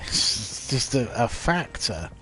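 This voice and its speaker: A man with a nasal, bunged-up voice from hay fever, rambling hesitantly with many pauses while he streams a video game. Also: medium pitch, big pitch swings, pushed and bright, rough recording. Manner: hesitant, many ums and restarts. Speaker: livestreamer playing a video game. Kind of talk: casual rambling commentary over gameplay. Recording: game sounds and vehicle noise behind. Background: video game audio with vehicles.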